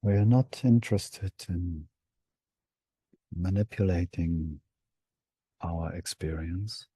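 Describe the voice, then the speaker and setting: A man leading a guided meditation in a hushed, unhurried manner with long pauses between short phrases. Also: low-pitched, breathy edge, no echo.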